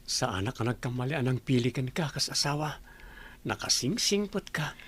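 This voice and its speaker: A man with an Ilocano accent playing an affectionate, excitable young husband in a radio drama, full of exclamations. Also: mid-range voice, normal speed, slightly rough.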